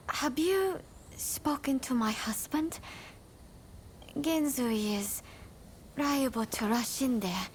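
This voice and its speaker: High-voiced, earnest samurai-era woman. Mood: anxious pleading. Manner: polite, formal, earnest. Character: anxious, devoted samurai-era woman, polite and pleading. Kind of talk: video game cutscene acting. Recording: faint background noise and ambience.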